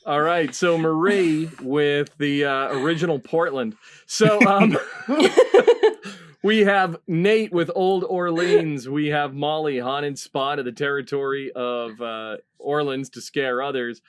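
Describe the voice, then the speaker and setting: A man's voice: on the high side, takes it slowly, clear, resonant tone. A middle-aged man hosting a comedy panel quiz over a video call. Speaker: quiz show host.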